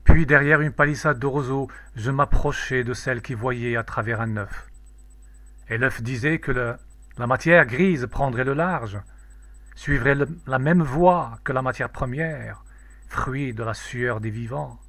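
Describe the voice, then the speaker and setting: Slow-paced man reciting poetry, dry sound. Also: medium pitch, a bit bright, no room sound. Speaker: poetry reader. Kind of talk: solemn poem recitation.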